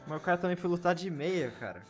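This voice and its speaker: A man's voice: high voice, lively intonation, racing. A man with a Brazilian accent casually reacting to a game as a streamer, using lots of slang. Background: game music.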